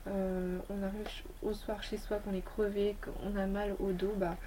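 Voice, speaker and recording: A woman's voice: medium pitch, level tone, measured pace, dark tone, slight background noise. A woman beauty vlogger with a slight head cold, chatting casually and rambling through a review of her toiletries. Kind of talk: casual product review chat.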